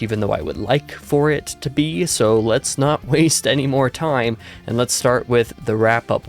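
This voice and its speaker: A hoarse man with a weak, scratchy voice hosting a casual book review vlog, chatty and rambling with lots of fillers and self-corrections. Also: mid-range voice. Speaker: host.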